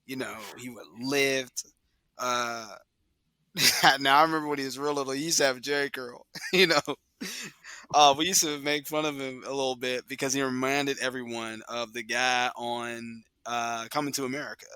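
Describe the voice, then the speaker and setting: A man speaks as a podcast guest, his voice bright and a little tense, recorded dry and close. He recalls things slowly and softly, with pauses and small restarts. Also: medium pitch, steady tone, smooth, no echo. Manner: reflective, pausing, soft-spoken with restarts. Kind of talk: casual conversation.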